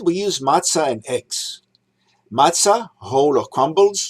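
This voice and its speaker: A man's voice: medium pitch, breathy edge. A man in his sixties who grew up in Egypt, giving a prepared holiday talk and recalling customs, listing items one at a time. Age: 60s. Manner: measured, reminiscing, lists items one by one. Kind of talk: prepared talk read aloud.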